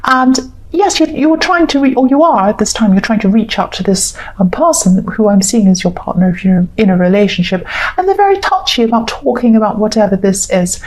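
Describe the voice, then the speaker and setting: A woman with a croaky voice giving a tarot reading to viewers, talking in a reassuring, repetitive way and thinking aloud with fillers. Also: medium pitch, lively intonation, a little fast, low-quality recording. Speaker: tarot reader.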